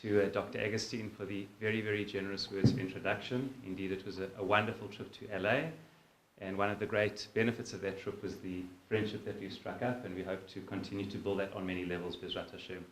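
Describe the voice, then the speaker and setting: A South African man addresses a convention from a podium microphone. His voice is on the low side, and he breaks up his formal thanks with informal asides and small hesitations. The hall around him is echoey, with a faint hum of ambience. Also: level tone, quick pace. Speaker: guest speaker at a convention. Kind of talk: formal speech with thanks and greetings. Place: synagogue hall.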